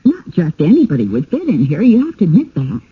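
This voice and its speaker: Radio drama role: conciliatory, appreciative wife, expressive husky delivery, soft dull broadcast sound. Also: female voice, on the low side, fast, full character voice. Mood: coaxing. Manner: polite, soothing, gently persuasive. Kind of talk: 1980s radio drama acting. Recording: muffled old radio broadcast.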